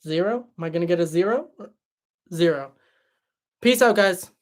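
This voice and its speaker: A young man hosting a livestream and answering chat in a relaxed, chatty way, his voice tired after hours of talking. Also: sits high, normal speed. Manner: relaxed, chatty, many fillers and restarts. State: voice tired after a long stream. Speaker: livestream host reading chat.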